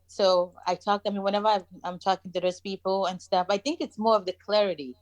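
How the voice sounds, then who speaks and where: A woman from Nigeria with a softened Nigerian accent, chatting as a podcast guest with many "I mean, like" fillers. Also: medium pitch, fairly even intonation, brisk, no room sound. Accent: Nigerian, softened by years in America. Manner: talks in loose restarts with many "I mean, like" fillers. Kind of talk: casual podcast chat.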